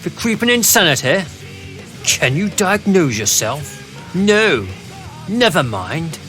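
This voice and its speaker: A man's voice: sits high, sing-song, slow pace, fairly bright tone, soft background music, exaggerated voice. An actor playing a cruel, mocking, commanding male psychopath in a radio drama. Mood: menacing, taunting. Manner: taunting, sneering, commanding. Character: cruel, mocking, superior male psychopath running a torture experiment.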